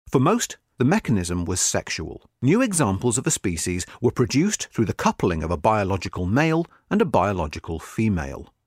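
A man narrating a scripted history magazine article in a measured, articulate way. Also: medium pitch, dry recording, studio quality.

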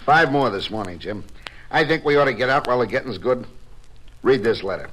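An actor playing a tough, suspicious drilling boss in a radio drama, gruff and curt. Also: male voice, mid-range voice, expressive, brisk, fairly bright tone. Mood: fed up. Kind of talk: 1950s radio drama acting. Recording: noisy old radio broadcast recording.

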